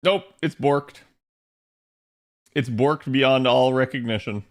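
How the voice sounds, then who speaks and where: A man's voice: medium pitch, takes it slowly. A man casually chatting and laughing through a game on his stream, slangy and laid-back. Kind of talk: casual gaming stream chat.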